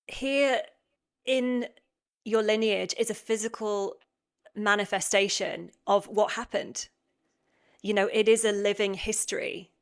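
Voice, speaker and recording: Woman host, mid-range voice, reflective and affirming. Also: mid-range voice. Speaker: podcast host. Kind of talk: interview conversation.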